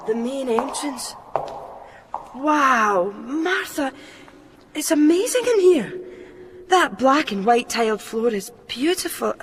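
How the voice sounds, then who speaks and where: A woman's voice: high-pitched, lively intonation, slow pace, long pauses, noisy background. A woman acting in a radio drama as a timid, anxiously polite woman with a hesitant, apologetic manner.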